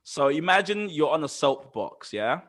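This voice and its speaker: A man's voice: a little high, big pitch swings, normal speed, a bit bright. A man hosting a podcast with eager enthusiasm, repeating words and restarting his sentences as he talks. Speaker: podcast host.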